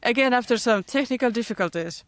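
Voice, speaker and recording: A woman's voice: fairly high pitch, fast talker, no room sound. A woman with a light Finnish accent co-hosts a casual podcast in a giggly, playful way. She keeps chiming in to agree and talks over a video-call setup. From Finland. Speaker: podcast co-host.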